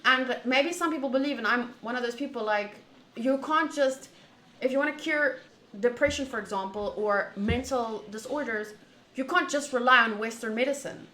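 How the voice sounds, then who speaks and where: A woman streamer chatting casually to viewers during a game playthrough, in an animated, opinionated and wandering way, often rephrasing mid-thought. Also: a little high, moderate pace. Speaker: streamer playing a visual novel. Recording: echoey room, faint background noise.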